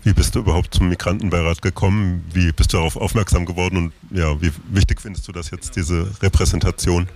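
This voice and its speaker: A man's voice: deep voice, fairly even intonation, fast talker, hardly any pauses, a little mellow, slight background noise. A man presenting and interviewing on the radio in an informal style, with loose, conversational phrasing.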